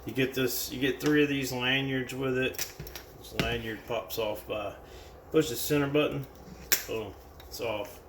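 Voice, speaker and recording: Male American product reviewer, casual and unscripted, creaky voice, picked up from a distance. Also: medium pitch, steady tone, unhurried, hardly any pauses. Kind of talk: casual hands-on product demonstration. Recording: roomy, distant mic with background noise.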